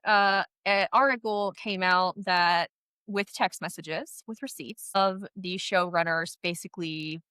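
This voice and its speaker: A woman co-hosting a casual podcast chat, chatty and animated, sounding stuffy from a head cold. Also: fairly low pitch, measured pace, clean tone, no echo. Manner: chatty, animated, laughs often. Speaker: podcast co-host.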